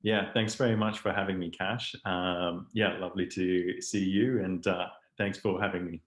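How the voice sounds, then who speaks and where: A young man in his twenties with a mixed international English accent, telling his story as a webinar guest and often pausing on ums and restarts. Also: deep voice, fairly even intonation. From Hong Kong. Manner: hesitant, many ums and restarts. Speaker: guest speaker, startup founder. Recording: roomy echo.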